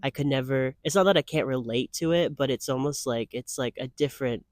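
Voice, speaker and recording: A man's voice: medium pitch, a little fast, no room sound, studio quality. A man of twenty-five answering interview questions in a casual, earnest way, rambling with lots of "like" fillers. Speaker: guest.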